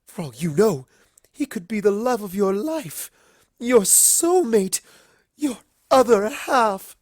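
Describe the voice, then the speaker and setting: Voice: mid-range voice, big pitch swings, takes it slowly, darkish tone, dry recording, studio quality, pitched above the performer's own voice. The storyteller voicing a dramatic, refined cartoon pony lady who gushes and shrieks theatrically. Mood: squealing, scandalized.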